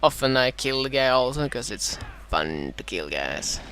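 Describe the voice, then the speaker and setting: A Swedish-accented man with a slightly dry throat talks through his own strategy game match as a beginner commentator, at a middle pitch. He stops and restarts often, filling the gaps with "uh" while he fixes his wording. A faint hiss of noise sits under his voice. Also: big pitch swings, sharp tone. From Sweden. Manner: halting, frequent "uh" fillers and self-corrections. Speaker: gameplay commentator.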